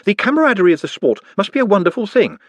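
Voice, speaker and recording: Polite goblin, formal and apologetic, high soft voice, as a man puts it on. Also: big pitch swings, racing, no echo. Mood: earnest. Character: polite, earnest male goblin, thin and gentle.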